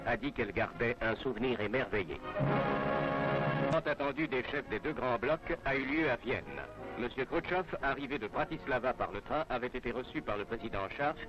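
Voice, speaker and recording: A man's voice: medium pitch, moderate pace, music in the background, rough recording. A man narrating a scripted 1960s French newsreel in a formal announcer's manner, on a vintage film soundtrack. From France.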